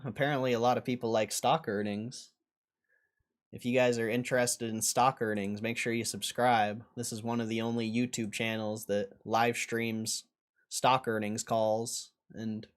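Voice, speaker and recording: A man hosting a livestream, chatting casually and informally with viewers in slangy, often repeated phrases. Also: mid-range voice. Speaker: livestream host. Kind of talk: casual livestream chat, reading out viewer comments.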